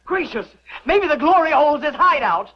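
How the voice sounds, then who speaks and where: Casting: dramatic frontier busybody, animated and wide-eyed; dull old radio sound. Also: female voice, high-pitched, normal speed, slight background noise. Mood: awestruck. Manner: theatrical, awestruck. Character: gossipy, dramatic frontier camp wife. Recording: muffled old radio recording.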